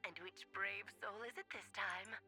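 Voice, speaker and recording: A woman's voice: medium pitch, steady tone, moderate pace, sharp tone, soft background music. A woman playing a playful, self-assured female mage in a video game, teasing and coy with theatrical phrasing.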